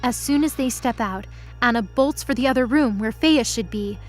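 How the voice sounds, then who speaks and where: A woman's voice: a little high, moderate pace, faint music behind. A woman narrating a scripted present-tense mythology drama.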